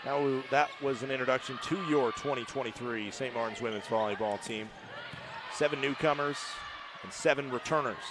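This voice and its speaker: A man doing live play-by-play commentary on a college volleyball match, who rises to shouts on big plays. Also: medium pitch, background noise.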